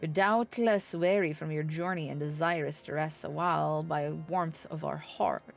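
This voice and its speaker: A woman's voice: low-pitched, fairly even intonation, normal speed, creaky, faint music behind. A woman voicing a gracious, welcoming knight lord from a fantasy game, speaking in a courtly, florid way. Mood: gracious, courteous.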